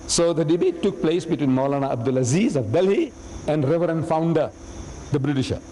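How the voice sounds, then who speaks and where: A man lecturing to an audience, telling an animated story with acted quotes. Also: a little high, fairly quick. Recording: long hall reverb, faint noise.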